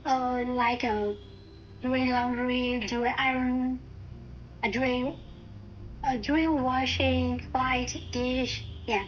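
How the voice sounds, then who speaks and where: Hesitant high-voiced Thai woman. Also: steady tone, extremely slow, lo-fi sound, slight background noise. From Thailand. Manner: hesitant, searching for words with many fillers. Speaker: guest, university student. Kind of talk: casual language-practice chat.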